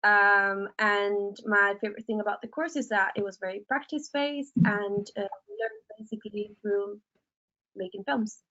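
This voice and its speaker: A woman's voice: mid-range voice, normal speed, bright, forceful tone. A young woman from Argentina, a film graduate, moderating a webinar panel and explaining things with many hesitant fillers. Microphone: video call. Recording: dull lo-fi video call audio.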